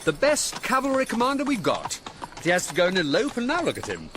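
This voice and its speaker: High-voiced, animated gentleman officer. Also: a little fast. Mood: wry, gossipy. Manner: urbane, wry, well-spoken. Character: Fitzroy Somerset, worldly, gossipy gentleman officer, military secretary. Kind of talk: period radio drama acting. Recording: noisy outdoor scene with horses. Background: horses clip-clopping.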